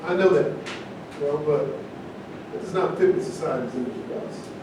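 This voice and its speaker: A man's voice: moderate pace, lightly acted. A man acting an opinionated, provocative character in a stage play reading, arguing in a declamatory way. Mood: lecturing. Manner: argumentative, declamatory. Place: echoey room at a live staged reading. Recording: distant mic, very echoey room, noisy rumble.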